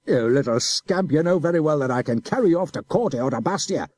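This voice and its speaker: A blustering middle-aged soldier, loud and pushy, with a raised voice that swings up and down as he bullies and cajoles. A man acts him out in a storybook reading. The voice is husky and mellow, in a dry close sound. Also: on the high side, animated, fairly quick, voice pushed up. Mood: angry threatening. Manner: blustering, chuckling, coaxing. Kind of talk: audiobook character voice.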